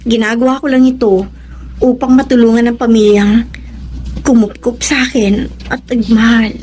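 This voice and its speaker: An actress playing a gentle, guilt-ridden, self-sacrificing daughter in a Tagalog radio drama, speaking earnestly with a Filipino accent. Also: female voice, fairly high pitch, steady tone, normal speed, a little hiss or hum, natural voice, rough recording. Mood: troubled, wistful.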